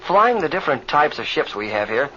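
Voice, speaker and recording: A man's voice: fairly high pitch, sing-song, brisk, bright, forceful tone. An American actor playing an eager, encouraging young male pilot in an old radio serial, with earnest, folksy delivery. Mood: reassuring. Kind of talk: 1930s radio serial acting. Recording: muffled, noisy old radio recording.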